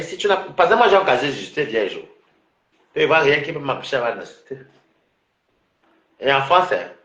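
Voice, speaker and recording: A man's voice: fairly high pitch, a bit bright, reverberant, dull audio. A man from Cameroon in a casual, rambling personal monologue, full of restarts and fillers. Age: adult.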